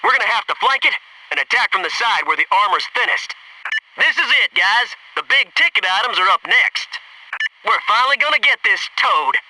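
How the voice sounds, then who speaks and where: A man's voice: sits high, animated, moderate pace, pushed and bright, recorded from a distance, slight background noise, heavily acted, poor audio. A man playing a cocky, wisecracking Navy SEAL squadmate in a military shooter game, with wry, slangy, gung-ho delivery. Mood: alert, focused.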